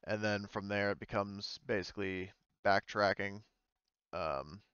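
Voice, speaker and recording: A man narrates a tabletop game as its game master. His voice is smooth and on the low side, with halting, informal phrasing. The sound is dry and a little dull, like online voice chat. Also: fairly even intonation, a bit bright, no room sound.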